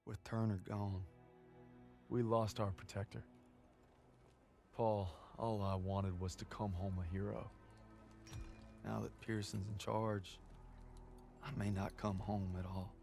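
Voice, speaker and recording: Young soldier narrator, low creaky voice, fairly flat pitch, somber, weary, music behind. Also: male voice, slow pace, long pauses. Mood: somber worry. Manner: subdued, somber. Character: weary, worried young American soldier narrating his war. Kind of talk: dramatic first-person game narration.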